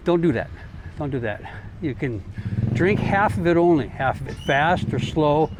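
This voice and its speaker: A man's voice: a little high, lively intonation, normal speed. A man chatting casually in a rambling, folksy, joking way as a travel vlogger walking along. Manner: folksy, joking, informal mild swearing. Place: outdoors, walking along streets. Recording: outdoor street noise, passing vehicles.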